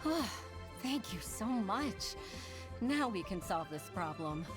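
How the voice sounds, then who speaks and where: A woman's voice: mid-range voice, big pitch swings, slow. A woman voicing an eager young female clerk in a video game, speaking over game music.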